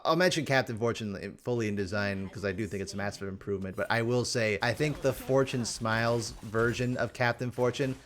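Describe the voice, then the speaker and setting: Animated guy giving casual video commentary. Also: on the low side, a little fast, few pauses. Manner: animated, exclamatory, mock-exasperated asides. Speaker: video host reviewing game characters. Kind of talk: casual commentary, rambling review.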